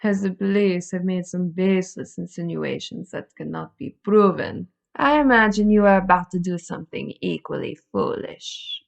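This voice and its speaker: An actress playing a haughty, villainous phony psychic with an imperious, formal, threatening manner in a comedy audio drama. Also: female voice, on the low side, normal speed, gravelly, hardly any pauses. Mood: haughty, cool.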